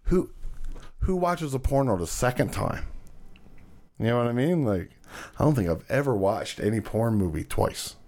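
A man co-hosting a podcast in casual chat, with a loud voice that carries, profane and fond of telling stories. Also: mid-range voice, animated, normal speed, long pauses. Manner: profane, storytelling, laughing often. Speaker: podcast co-host.

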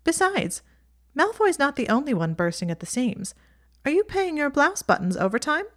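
A woman's voice: high-pitched, sing-song, normal speed, voice pushed up. A woman voicing a chatty, teasing young witch girl who speaks in a lofty, blunt way.

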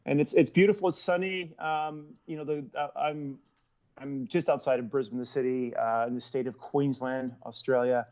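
A man's voice: mid-range voice, steady tone, normal speed, a little mellow, muffled recording. A man in his forties from Central California, Portuguese his first language, chatting as an actor guest on a call, rambling with many ums and restarts. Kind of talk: casual interview over a call.

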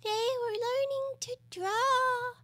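A woman voicing a sleepy, sulky storybook dormouse in a feeble, hoarse, drawling voice. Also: lively intonation, sharp tone, no room sound, full character voice. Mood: drowsy storytelling. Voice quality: hoarse, feeble, high-pitched. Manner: slow, drawling, drowsy.

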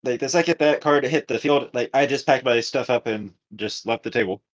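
A man co-hosting a podcast, telling a story casually with halting, repetitive, circling phrasing. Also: medium pitch, highs cut off. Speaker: podcast co-host.

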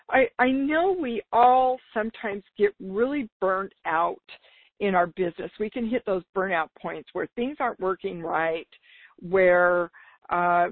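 Slightly raspy woman hosting, lo-fi sound. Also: medium pitch, no room sound. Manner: enthusiastic, emphatic, informal. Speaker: business podcast host. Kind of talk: upbeat solo podcast talk. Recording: dull lo-fi radio-like sound.

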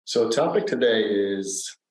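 A man's voice: on the low side, lively intonation, unhurried. A man in his fifties co-hosting a podcast, chatting casually and rambling with many fillers. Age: 50s. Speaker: podcast co-host. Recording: echoey room.

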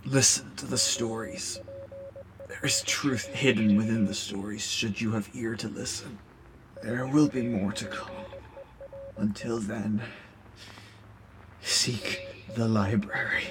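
A man playing an ancient, once-mighty cosmic being, now weakened, delivering a solemn, cryptic audio drama monologue in measured phrases. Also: lowish voice, steady tone, background noise. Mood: weary urgency. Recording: music behind the voice.

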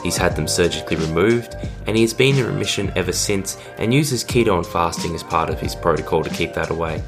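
A hoarse man introduces his podcast in a very low voice while fighting off a cold. He speaks upbeat and directly to his listeners, with clear music playing under his voice. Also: lively intonation, fairly quick. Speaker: podcast host. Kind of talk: scripted show intro.

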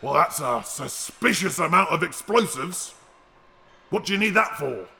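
Bitter underworld dealer, creaky, bright and forceful, distrustful, audio drama villainy. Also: male voice, on the high side, expressive, measured pace, full character voice. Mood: wary, businesslike. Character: bitter, wary male underworld arms dealer, betrayed.

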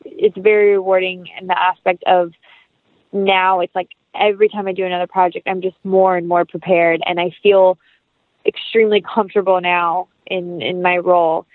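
A woman's voice: on the low side, little pitch movement, normal speed, no room sound. Woman on a tinny phone-like line. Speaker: interview guest, actress and producer. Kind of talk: casual conversational interview.

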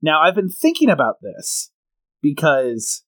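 Animated man telling a story. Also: on the high side, unhurried, dry recording. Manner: explains at length, then tells stories. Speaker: podcast co-host. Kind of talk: casual comedy podcast chat.

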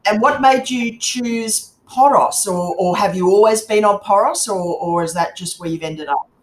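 A woman interviewer with a noticeably echoey sound. She chats casually and attentively, encouraging her guest along in a mid-pitched voice. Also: normal speed. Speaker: interviewer, host.

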